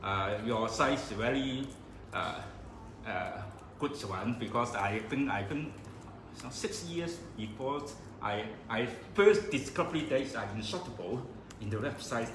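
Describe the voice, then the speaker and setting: A man introducing himself from the audience, halting and searching for words. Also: medium pitch, expressive, fairly bright tone. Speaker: audience member. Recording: echoey room with noise.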